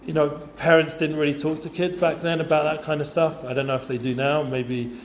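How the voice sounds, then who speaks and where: A man's voice: fairly high pitch, level tone, fairly quick, slight background noise. A man, talking casually to a youth group with lots of fillers and asides. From North London, England. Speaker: guest speaker for students. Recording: roomy echoey room.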